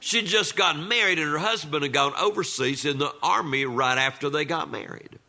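Bright-voiced older man telling family stories. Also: fairly high pitch, moderate pace. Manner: folksy, conversational, acts out quoted dialogue. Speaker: storyteller. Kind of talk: live humorous family storytelling.

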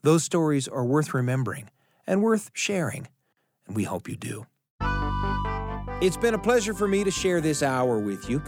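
A man hosting a radio storytelling show, narrating in a reflective, conversational way with deliberate phrasing. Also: a little high, very animated, a little mellow, soft background music.

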